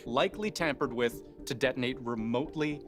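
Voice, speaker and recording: A man presents a scripted news explainer with music clearly under his voice. He speaks at a middle pitch in a deliberate way, setting up questions and then answering them.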